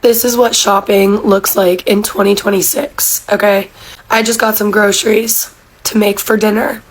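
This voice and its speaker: A woman who has lost her voice, talking hoarsely in a casual, exasperated shopping vlog. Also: medium pitch, flat delivery, moderate pace, poor audio.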